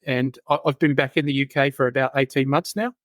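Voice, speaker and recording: A man's voice: medium pitch, fairly even intonation, brisk, dry recording, studio quality. A middle-aged man with an Australian accent, a guest on a podcast, talking casually and often repeating words as he gathers his thoughts. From born in England, raised in Australia and New Zealand. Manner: hesitant, repeats words while thinking. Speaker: guest, safety specialist. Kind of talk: conversational podcast interview.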